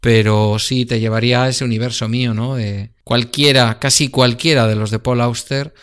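Smooth-voiced middle-aged man, hesitant. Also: mid-range voice, steady tone, moderate pace, fairly bright tone. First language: Spanish. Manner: hesitant, many fillers and restarts. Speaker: guest. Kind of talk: casual conversation about books.

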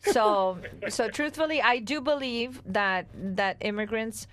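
A woman's voice: on the high side, measured pace, a little hiss or hum. A woman co-hosting a radio show in a studio, speaking earnestly as she tells a story. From Cuba.

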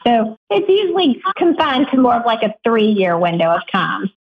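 A woman co-hosting a radio show, bubbly and playful, laughing often as she introduces the episode. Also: medium pitch. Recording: dull lo-fi audio.

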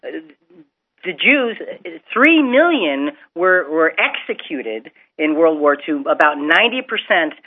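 High-voiced, lively male radio host. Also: unhurried. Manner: animated, repeats words when starting. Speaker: radio talk show co-host. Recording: dull lo-fi radio broadcast with faint music.

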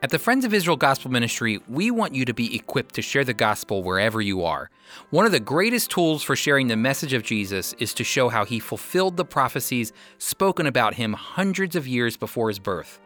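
Animated male announcer over music. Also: medium pitch, fairly quick. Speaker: promo announcer. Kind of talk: scripted promotional narration. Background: background music.

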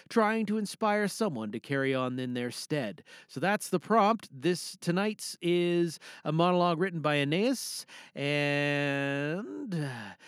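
Male podcast host, high and bright, casual and animated, breaks off mid-thought. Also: clean tone, no echo, studio quality. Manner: chatty, animated, self-interrupting. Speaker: podcast host and amateur voice actor. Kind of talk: casual, excitable podcast chat.

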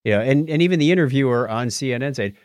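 A man's voice: mid-range voice, expressive, quick pace, no echo, studio quality. A man co-hosting a podcast in casual chat, with a jokey way of acting out what other people say. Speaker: podcast co-host.

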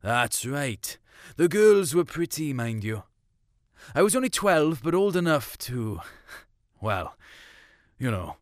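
A man's voice: medium pitch, sing-song, slow, pauses often, no room sound, studio quality. Man playing a wistful Welsh hill farmer reminiscing. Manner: colloquial, dialect grammar, wistful. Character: Uncle Jack, big bearded Welsh hill farmer reminiscing fondly. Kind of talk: storytelling short-story reading.

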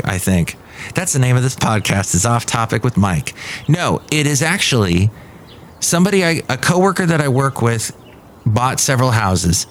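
A man's voice: mid-range voice, a bit bright, slight background noise. Chatty middle-aged man rambling in a café. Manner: chatty, self-interrupting, drifts off topic. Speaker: podcast host. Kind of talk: rambling casual monologue.

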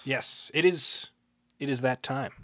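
A man co-hosting a podcast, dropping deadpan remarks into casual banter. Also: mid-range voice, a little slow, very noisy. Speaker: podcast co-host.

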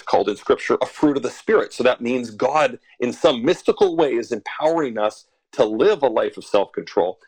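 A man's voice: mid-range voice, a little mellow, no echo, poor audio. Man, forties, from western Canada, author guest, thoughtful, frequent fillers, chuckles, dry recording. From Alberta, Canada. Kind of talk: podcast interview.

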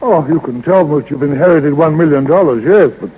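An actor playing a fussy, formal lawyer in a 1930s radio comedy, speaking deliberately and precisely. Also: male voice, fairly high pitch, warm tone, slightly rough. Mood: solemn, stern. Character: fussy, formal male lawyer reading out a will. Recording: 1930s radio recording, long reverb.

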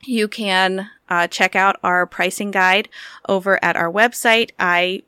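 Woman interview guest, crisp bright voice, dry close sound, conversational promotional talk. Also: mid-range voice, fairly even intonation, slow pace, no echo. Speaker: magazine publisher, interview guest.

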